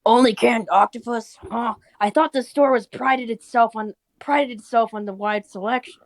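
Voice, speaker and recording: A woman's voice: a little high, fairly even intonation, normal speed, close to an everyday voice. Woman playing an easygoing, mild old grandfather. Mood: puzzled, cheerful.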